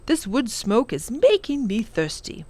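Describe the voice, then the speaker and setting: A woman's voice: on the high side, big pitch swings, measured pace, a little mellow, dry recording, pitched above the performer's own voice. A woman voicing a kindly, learned elderly male professor in a children's storybook, exclaiming and savouring long words. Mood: excited, playful. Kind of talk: children's storybook character reading.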